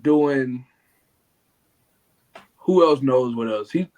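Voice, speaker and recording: A man co-hosting a wrestling podcast, calmly explaining things to the others. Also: mid-range voice, very slow. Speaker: podcast co-host. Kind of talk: casual podcast chat.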